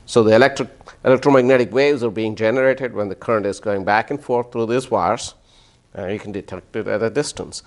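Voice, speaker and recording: A man with a fairly low voice lectures through a hands-on demonstration as a professor. He explains step by step, pausing to find words and tagging points with brief check-in questions. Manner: explanatory, hesitant pauses, checks in with "right?" and "okay?". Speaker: physics professor.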